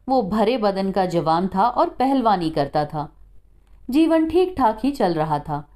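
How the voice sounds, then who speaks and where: A woman reads a short story as a practiced narrator. Her voice is slightly high and moves expressively up and down. She takes her time, with a mellow, soft-edged tone.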